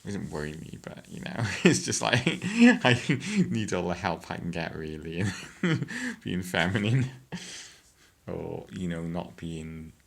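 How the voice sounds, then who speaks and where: A woman, chatting hesitantly in a casual vlog update, with many fillers and frequent throat-clearing. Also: big pitch swings, takes it slowly. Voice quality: deep voice. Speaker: vlogger.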